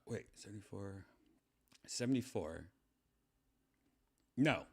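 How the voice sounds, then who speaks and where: A man in his fifties with a lowish voice talks loosely to his livestream chat. He meanders, trails off mid-thought and restarts his sentences, filling the gaps with ums and uhs. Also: lively intonation, slow, gravelly, husky. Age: 50s. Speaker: livestream host. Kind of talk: rambling casual livestream chat.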